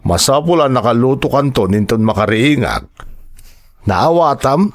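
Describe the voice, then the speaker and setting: A man's voice: medium pitch, lively intonation, moderate pace, lightly acted. A man playing a stern, bullying older father in an audio drama, scolding and domineering with an Ilocano accent.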